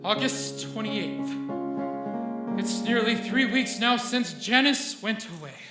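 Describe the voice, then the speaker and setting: An actor playing an unravelling, paranoid scientist in an old-time radio drama, speaking haltingly and repeating himself. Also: male voice, sits high, animated. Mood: brooding, paranoid. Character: unravelling paranoid scientist doctor under a brain's control. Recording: echoey stage, loud piano music behind.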